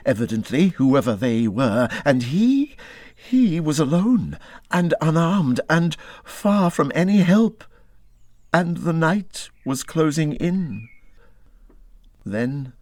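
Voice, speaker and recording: A man reading a classic children's animal story as scripted narration, in a dramatic, expressive style that moves between hushed and urgent. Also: a little high, takes it slowly, dry recording, studio quality. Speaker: audiobook reader.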